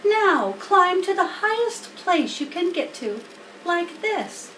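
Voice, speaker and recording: A woman's voice: very high voice, big pitch swings, slow pace, fairly bright tone, pitched above the performer's own voice, full character voice. A woman voicing a wise, matter-of-fact female spider in a storybook, patiently instructive and a little wry. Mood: coaching. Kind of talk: storybook character voice. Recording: roomy, distant mic, faint noise.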